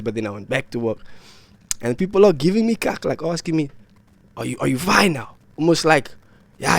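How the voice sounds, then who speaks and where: A man's voice: mid-range voice, no room sound. A sick young man, telling a rambling, slang-filled story about his illness to his vlog viewers. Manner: slangy, rambling, repeats himself. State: ill and worn out. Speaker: vlogger. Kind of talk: casual storytelling to camera.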